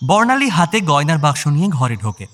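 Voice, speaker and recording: A man's voice: fairly high pitch, sing-song, a little fast, a bit bright. A man narrating a scripted audio story.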